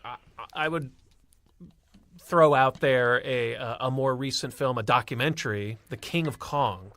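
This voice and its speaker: A man's voice: medium pitch, a little slow, a bit bright, no echo. A middle-aged man chatting casually as a panelist on a discussion show.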